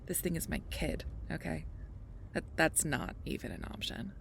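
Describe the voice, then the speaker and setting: A performer playing a sarcastic, protective young supernatural hunter in an intimate audio role-play, with casual, wry and often breathy banter. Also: medium pitch, big pitch swings, moderate pace, fairly bright tone, a little hiss or hum, heavily acted. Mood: teasing, stubborn.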